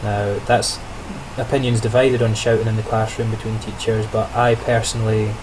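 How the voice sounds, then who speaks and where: A young man records a casual video diary, his voice low and fairly monotone as he reflects on the day with small laughs and restarts. An air-conditioning hum runs steadily behind him in the quiet indoor room. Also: moderate pace. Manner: chatty, self-correcting, reflective, laughs lightly. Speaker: trainee teacher on placement.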